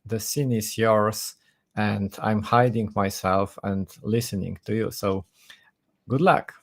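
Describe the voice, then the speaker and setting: A man hosting a live conference stream, speaking in halting English with many restarts. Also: fairly low pitch, fairly even intonation. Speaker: conference host.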